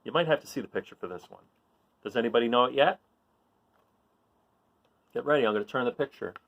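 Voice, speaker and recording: A man reading a children's picture book aloud in an informal, expressive way, putting questions to young listeners and encouraging them. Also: mid-range voice, fast talker, creaky, lo-fi sound. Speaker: read-aloud storyteller.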